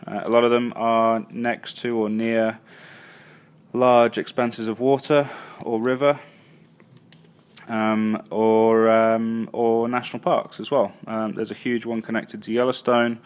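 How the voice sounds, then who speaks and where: Hesitant low-voiced man rambling. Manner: hesitant, many ums and uhs, self-correcting. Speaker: travel vlogger. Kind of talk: casual rambling travel recap. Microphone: phone or handheld camera.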